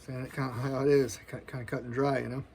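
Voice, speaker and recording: Forties male vlogger, gravelly, casual spiritual musings, slangy and earnest. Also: mid-range voice, little pitch movement, fast talker, darkish tone, a little hiss or hum. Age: 40s. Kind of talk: rambling spiritual monologue.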